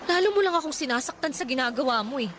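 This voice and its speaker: An actress playing a hurt, guarded young working woman who answers in sharp, challenging retorts in a radio drama. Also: high-pitched, expressive, very fast, background noise. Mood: hurt, angry.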